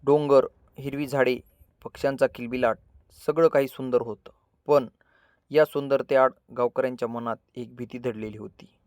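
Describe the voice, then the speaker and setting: A man narrating a scripted children's story as a storyteller, with measured, dramatic delivery in short phrases. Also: medium pitch, steady tone, darkish tone, smooth, no room sound, studio quality.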